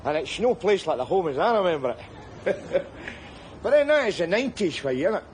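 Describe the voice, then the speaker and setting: An actor playing a sardonic, rambling middle-aged down-and-out man, who breaks into shouts. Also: sits high, sing-song, a little fast, a little hiss or hum. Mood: wry rambling. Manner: wry, rambling, bursts into shouts. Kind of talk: screen drama acting.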